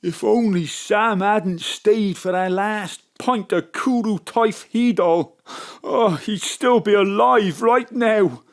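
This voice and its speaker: A mournful Welsh clerk in a comic audio drama. He speaks slowly and plainly with a Welsh accent, sunk in maudlin grief. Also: sits high. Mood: maudlin, grieving. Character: John, maudlin Welsh pharmacy clerk mourning his brother.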